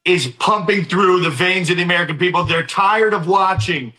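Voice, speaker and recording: A man's voice: high voice, moderate pace, sharp tone, echoey room, rough recording. A man appearing as a guest activist in an interview, speaking in a fiery, declamatory way.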